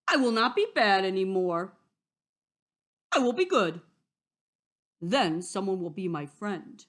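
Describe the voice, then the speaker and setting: A woman voicing a lonely male storybook wolf who speaks loudly, earnestly and overly politely. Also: fairly high pitch, big pitch swings, slow pace, voice pushed up. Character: lonely male wolf trying hard to be good.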